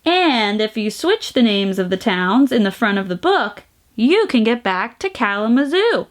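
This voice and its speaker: A woman hosting a children's storytime, reading aloud in an animated, sing-song way with exaggerated emphasis and playful sound effects. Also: on the high side, a little slow. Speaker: storytime host reading a picture book aloud.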